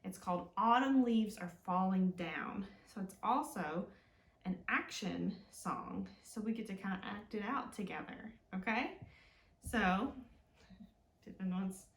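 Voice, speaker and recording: A woman leading a children's storytime as a librarian, reading aloud expressively and talking gently and simply to young listeners. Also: medium pitch, unhurried. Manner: gentle, simple, encouraging talk aimed at small children. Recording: roomy, echoing indoor sound.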